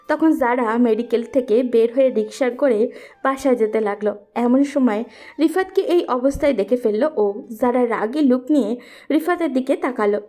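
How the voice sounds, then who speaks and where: A woman's voice: on the high side, dark tone. A woman narrating a scripted romantic story.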